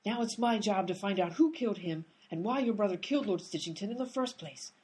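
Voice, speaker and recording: A woman's voice: medium pitch, a little fast, a little mellow, breathy edge, lightly acted. A woman playing an exasperated, blunt, tough-talking detective in a comedic radio play. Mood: tough, demanding.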